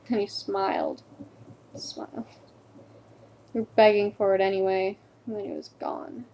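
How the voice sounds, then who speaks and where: A woman performing a dramatic stage monologue as a wounded, ashamed teenage girl, in a confiding, halting, subdued way. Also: mid-range voice, mellow, a little hiss or hum, pitched below the performer's own voice, rough recording. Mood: haunted, bitter.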